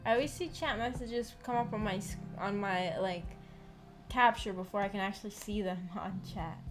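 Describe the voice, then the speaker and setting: A woman's voice: medium pitch, normal speed. A woman streamer chatting casually with her viewers, rambling and thinking aloud in a giggly way. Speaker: streamer hosting a visual novel book club. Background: background music.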